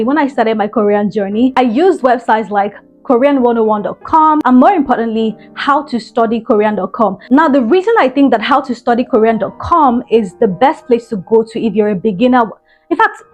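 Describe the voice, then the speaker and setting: A woman's voice: a little high, lo-fi sound. Earnest young woman giving study tips. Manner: earnest, encouraging, emphatic repetitions. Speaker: language-learning vlogger. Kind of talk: tips vlog, direct to camera.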